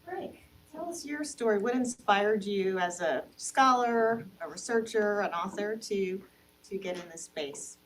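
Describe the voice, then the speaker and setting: A woman moderating a university panel in an ordinary middle-pitched voice. She thanks the speakers and poses questions graciously. She is picked up at a distance, with faint noise behind. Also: animated, unhurried. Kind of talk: panel discussion.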